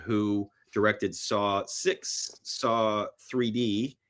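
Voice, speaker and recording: A man's voice: lowish voice, steady tone, extremely slow, fairly bright tone. A man being interviewed, telling stories casually in a rambling way and acting out imagined bits of dialogue. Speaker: podcast guest, screenwriter.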